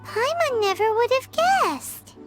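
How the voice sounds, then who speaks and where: A woman voicing a tiny floating fairy-like companion girl who talks about herself in the third person, in a high, squeaky, bubbly way. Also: very animated, slow pace, full character voice. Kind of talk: game voice acting. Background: game background music.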